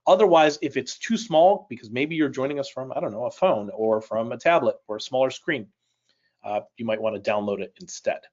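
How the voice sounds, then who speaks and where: A middle-aged man raised in Chicago, a native Polish speaker, teaches a live online class at a mid-range pitch. He talks in a relaxed, conversational way, tosses questions to his viewers and adds small jokes. The stream audio sounds a bit dull and lo-fi. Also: fairly quick. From Chicago, United States. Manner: conversational, asks the audience questions, light jokes. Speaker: reading instructor leading a live online class. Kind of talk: live webinar instruction. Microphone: personal mic on a webcam live stream.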